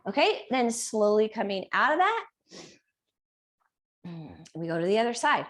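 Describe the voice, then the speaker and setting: A woman teaching a yoga class and guiding poses step by step. She is losing her voice and keeps clearing her throat. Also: a little high, very animated, measured pace. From Reno area, United States. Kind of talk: guided yoga class instruction.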